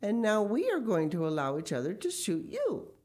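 A woman voicing a stern, aggrieved wild duck in a storybook, questioning with measured reproach. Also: medium pitch, wildly swooping pitch, darkish tone, pitched above the performer's own voice.

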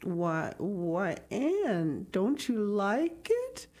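A kindly old storybook farmer, read by a woman. He speaks slowly and shyly. He hesitates and repeats himself gently. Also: medium pitch, huge pitch swings, voice pushed up, exaggerated voice. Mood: shy, worried.

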